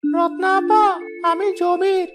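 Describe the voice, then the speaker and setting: Very high-voiced, humble village man voiced by a woman. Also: fairly even intonation, slow pace, faint music behind. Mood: pleading. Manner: polite, admiring, deferential. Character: humble, grateful young village father. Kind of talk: children's cartoon voice acting.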